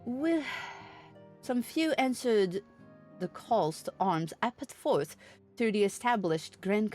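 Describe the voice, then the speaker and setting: A woman's voice: fairly low pitch, darkish tone. Woman reading as an earnest, lofty young nobleman. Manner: lofty, formal diction. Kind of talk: reading fantasy game dialogue aloud.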